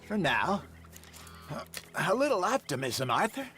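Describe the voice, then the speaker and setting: A man's voice: sits high, sing-song, a little slow, pushed and bright, faint music behind, full character voice. A man acting in a video game as a wry, folksy old American outlaw and hunter with a good-humoured temper. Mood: teasing. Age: older.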